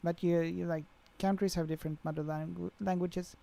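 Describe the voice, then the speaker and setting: A man's voice: a little high, flat delivery, a little fast, gravelly, mellow. A young man with a Swedish accent, chatting casually on a flight sim livestream and reading out viewer comments, with many fillers. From Sweden.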